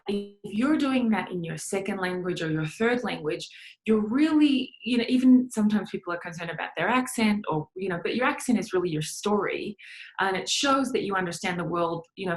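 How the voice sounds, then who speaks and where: An Australian woman speaking as an interview guest, expressive and emphatic in a conversational style of storytelling and advice. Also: mid-range voice, poor audio.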